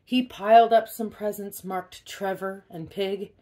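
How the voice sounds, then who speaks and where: Unhurried woman reading a picture book. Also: mid-range voice. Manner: expressive, emphatic on key words. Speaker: storybook reader. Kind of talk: expressive children's picture-book read-aloud.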